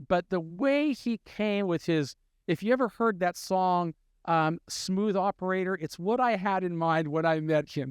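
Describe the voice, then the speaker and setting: A man hosting an interview, asking measured, gracious questions of his guest. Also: sits high, clear, resonant tone, no echo, clean studio sound. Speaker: interview host. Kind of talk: conversational interview.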